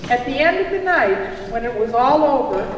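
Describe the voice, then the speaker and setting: A woman's voice: sits high, animated, moderate pace, dull audio, a little hiss or hum. A woman narrating a puppet show, telling a story for children. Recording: echoey hall from far off, music.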